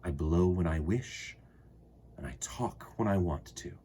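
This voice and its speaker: A man voicing the wind as a friendly, mysterious spirit, soft, breathy and gentle, in a storybook reading. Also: sits low, expressive, measured pace, pitched below the performer's own voice, full character voice. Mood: gentle, assured. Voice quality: breathy, hushed. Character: the wind, a softly whispering, friendly, free-willed spirit.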